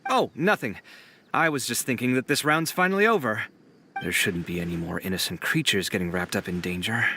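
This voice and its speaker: A man's voice: lowish voice, animated, brisk, a little hiss or hum. An actor voicing an earnest, easily flustered young male architect. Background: soft game music.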